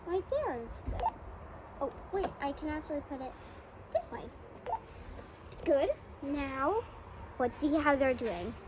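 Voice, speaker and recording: Very high-pitched child narrating doll play. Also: lively intonation, a little slow, long pauses. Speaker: doll-play video performer. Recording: very noisy handheld outdoor recording.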